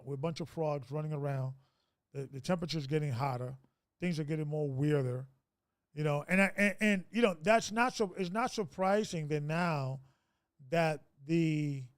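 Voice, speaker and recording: A man's voice: on the high side, clean tone, no echo, clean studio sound. A man in his fifties hosting a casual group discussion, preachy and rambling, with many restarts. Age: 50s.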